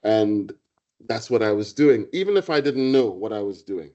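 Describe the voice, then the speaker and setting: Mellow man giving a lecture. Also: on the low side, lively intonation, lo-fi sound. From Trinidad. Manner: reflective, halting with restarts and fillers. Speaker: guest lecturer, poet. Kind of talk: public lecture.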